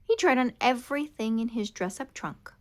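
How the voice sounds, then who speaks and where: A woman reading a children's picture book aloud in an expressive, animated way. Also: on the high side, normal speed. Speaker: storybook reader. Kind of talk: children's read-aloud narration.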